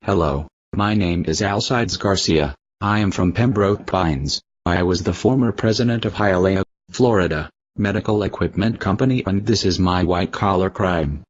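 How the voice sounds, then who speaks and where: Low-voiced man, stiff interview answers. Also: moderate pace, hardly any pauses, no room sound, dull audio.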